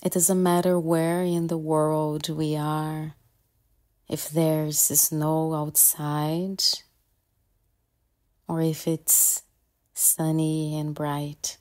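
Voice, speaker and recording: Female meditation guide: low, very flat pitch, calm and gentle, soothing instruction in short phrases with long pauses.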